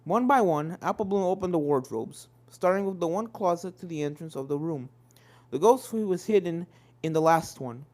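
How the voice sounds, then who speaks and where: A woman's voice: fairly low pitch, lively intonation, moderate pace, a little mellow. A woman reading a scripted story aloud as its narrator. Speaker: fan fiction reader, narrator.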